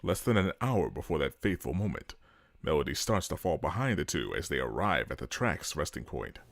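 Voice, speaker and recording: A man's voice: very deep voice, big pitch swings, a little fast, dry recording, clean studio sound. A man reading scripted dramatic narration as the storyteller of an audio drama.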